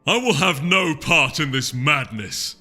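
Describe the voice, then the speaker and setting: Man playing a pompous, bright-voiced pony duke. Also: a little high, expressive, measured pace, soft background music, pitched above the performer's own voice, full character voice. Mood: indignant. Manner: pompous, oratorical. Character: haughty male unicorn duke, disdainful political rival.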